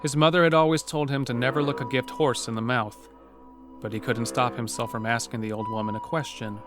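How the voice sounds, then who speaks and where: A man's voice: medium pitch, steady tone, fairly quick. A man reading scripted, dramatic narration for a horror story. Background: prominent music.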